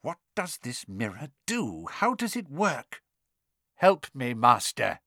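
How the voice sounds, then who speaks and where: A man voicing a nervous, impatient villain who serves a dark master, snapping urgently in an audiobook. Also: mid-range voice, wildly swooping pitch, slow, no echo, clean studio sound, exaggerated voice. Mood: fretful, pleading. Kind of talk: dramatic audiobook character voice.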